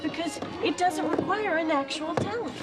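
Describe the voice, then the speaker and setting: A woman's voice: very high voice, moderate pace, loud music behind the voice. An actress playing a sweet, earnest and slightly awkward teenage schoolgirl in a TV drama. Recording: TV clip with background noise.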